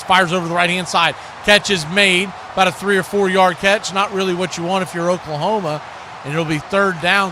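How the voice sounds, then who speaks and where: An American man doing live football play-by-play commentary, conversational between snaps and animated on big plays. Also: sits high, background noise. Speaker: play-by-play sportscaster.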